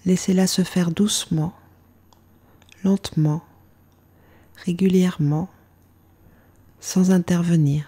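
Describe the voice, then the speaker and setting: A woman guides a relaxation practice as a yoga instructor. Her voice sits a little low, with a dark, relaxed tone. She speaks very slowly and calmly, letting phrases fall away softly. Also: husky. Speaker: yoga nidra meditation instructor. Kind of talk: guided meditation narration.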